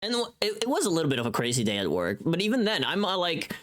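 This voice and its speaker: A woman chatting casually to viewers on a livestream, talking in a scattered, expressive and self-deprecating way. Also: fairly low pitch, quick pace.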